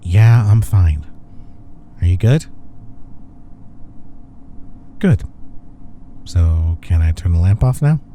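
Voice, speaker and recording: Young male office rival for intimate audio drama, played by a man: dark mellow tone, lively intonation, smug playful banter. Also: low voice, slow, a little hiss or hum. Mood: tired, conciliatory. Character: teasing, smug young male office rival softening toward his coworker. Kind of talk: intimate roleplay audio acting, one-sided conversation.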